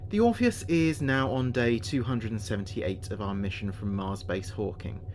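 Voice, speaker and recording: A British man playing an earnest spaceship communications officer recording logs in a sci-fi audio drama, speaking in a measured, precise way. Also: medium pitch, a little hiss or hum, natural voice. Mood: excited, formal.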